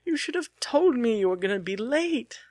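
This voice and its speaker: A man's voice: very high voice, big pitch swings, normal speed, darkish tone, dry recording, pitched above the performer's own voice. A man voicing an affectionate, bossy young woman with emphatic, emotional delivery in a dramatic audiobook. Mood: emotional, relieved.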